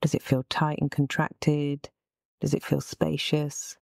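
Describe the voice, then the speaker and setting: A woman with a low, soft-toned voice leads a guided relaxation session. She gives gentle, unhurried instructions in a soothing way. The sound is close and dry, with a mellow, relaxed timbre. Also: gravelly, no room sound.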